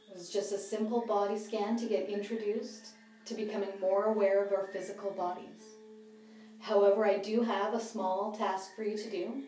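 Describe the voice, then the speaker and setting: Mellow woman guiding meditation, roomy sound. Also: medium pitch. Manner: calm, measured instruction in short phrases. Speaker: meditation guide. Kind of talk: guided mindfulness meditation. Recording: slightly distant roomy sound, music behind.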